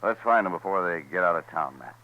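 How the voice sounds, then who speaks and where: Deep, confident western gunfighter, muffled recording. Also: male voice, expressive, fast. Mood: grim. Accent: American. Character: confident, easygoing frontier gunfighter. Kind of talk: 1950s radio western acting. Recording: muffled hissy old radio recording.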